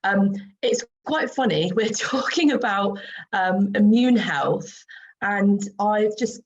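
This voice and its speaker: A woman in her forties with a croaky voice hosting an online video-call webinar, chatty and full of ums. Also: medium pitch, animated, slow pace, muffled recording. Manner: chatty, many ums, light laughs. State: croaky voice after throat infection. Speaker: webinar host and skincare expert. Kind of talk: casual online presentation.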